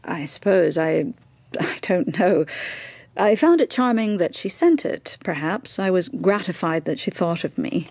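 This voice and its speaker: An actress playing a proud, desperate middle-aged mother in a radio drama, speaking in halting, deliberate, insistent phrases. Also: fairly low pitch, animated, a little mellow, no echo. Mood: guarded, hesitant.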